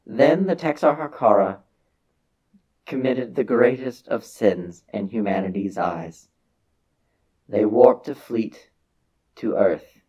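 A man voicing a grave old alien elder who recounts a war to children in a dramatic science fiction reading, with deliberate theatrical pauses. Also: on the low side, dark tone, slightly rough, dull audio, full character voice. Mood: grave, intense.

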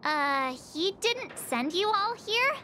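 A woman voicing a perky, excitable, blunt teenage girl adventurer in an anime video game, expressive and incredulous. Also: very high-pitched, slow, slight background noise, heavily acted. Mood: excited.

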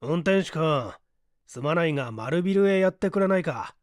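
A man voicing a composed gentleman hero in a story reading, calm and politely menacing. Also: on the high side, normal speed, no echo, studio quality, close to an everyday voice. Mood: cool, composed. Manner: calm, polite, clipped authority.

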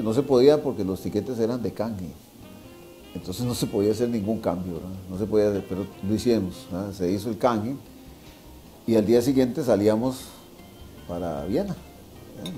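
A man's voice: lowish voice, normal speed, mellow, soft background music. A man in his sixties with a Costa Rican accent, telling anecdotes casually, repeating phrases for emphasis and checking with tag questions. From Costa Rica. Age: 60s. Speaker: interview guest, veteran sports journalist. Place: wine bar dining room, indoors.

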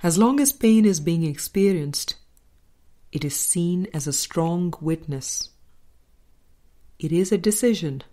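A woman narrating a spiritual book aloud in a measured, deliberate way, pausing between phrases. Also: fairly low pitch, animated, mellow. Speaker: audiobook narrator.

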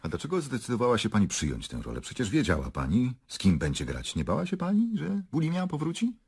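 An actor playing an unflappable prosecutor who questions formally and insistently in a radio drama. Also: male voice, medium pitch, wildly swooping pitch, fast, a little mellow, slightly rough, full character voice. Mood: probing, measured. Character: probing, unflappable male prosecutor leading a murder investigation. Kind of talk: Polish radio drama acting.

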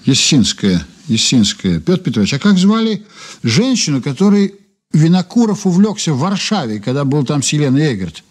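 Deliberate, wry investigator in radio drama. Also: male voice, on the high side, big pitch swings, a little mellow. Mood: curious, intrigued. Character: brisk male investigator reading old reports aloud, wryly intrigued. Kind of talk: Soviet radio drama acting.

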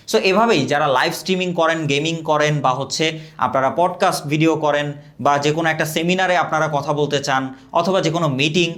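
A young man from Bangladesh giving an energetic, scripted product review and sales pitch.

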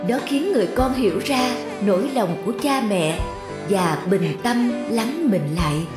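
A woman's voice: a little high, expressive, a little slow, echoey room, music in the background. A woman reading scripted narration for a moral story.

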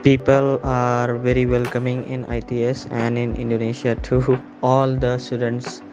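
A male student speaking in a friendly, relaxed way. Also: mid-range voice, a little slow, over music, poor audio.

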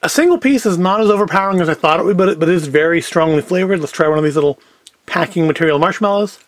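A man's voice: high-pitched, very fast. A man giving a casual, unscripted product review as host, with wry, self-deprecating asides. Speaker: host of a food review show. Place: indoor tabletop set. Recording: clear background noise, packaging crinkles. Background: clicks and crinkling packaging.

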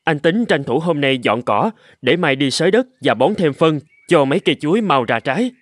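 An actor playing an eager, gullible husband in a cartoon, speaking in an earnest, exclamatory way. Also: male voice, a little high, expressive, normal speed, no echo. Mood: cheerful, earnest. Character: eager, gullible husband dreaming of gold, later hardworking. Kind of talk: cartoon voice acting.

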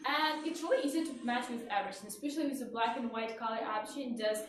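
High-voiced Ukrainian woman in a very echoey room. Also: fast, far from the mic. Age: 20s. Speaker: live shopping host. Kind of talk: casual live-stream product presentation. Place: small indoor studio with clothes rack. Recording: echoey room from afar, faint noise.

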